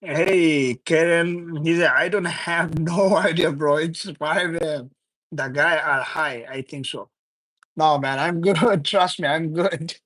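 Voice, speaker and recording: A man's voice: a little high, slow, fairly bright tone, no room sound, rough recording. Chuckling young man hosting a livestream. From Bangladesh. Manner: chuckles often, reads viewer comments aloud; loose, repetitive, teasing banter. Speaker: livestream host. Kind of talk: casual live chat with viewers.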